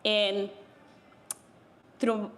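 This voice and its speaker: A middle-aged woman from the Dominican Republic, a native Spanish speaker, telling her story on a panel in a halting way with many pauses. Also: medium pitch, flat delivery, fairly bright tone.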